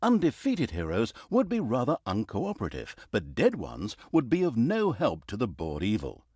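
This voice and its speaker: An actor playing a sardonic, theatrical storyteller, narrating a comic fantasy video game in a wry, mock-grand way. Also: male voice, mid-range voice, huge pitch swings, normal speed, a little mellow, slightly rough, no room sound, clean studio sound. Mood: wry, explanatory.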